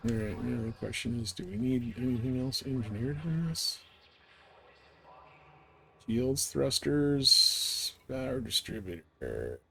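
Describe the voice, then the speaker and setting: A man's voice: mid-range voice, expressive, slow, sharp tone. A man livestreaming a video game, thinking aloud in casual commentary and chatting with viewers. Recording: voice over clear background noise.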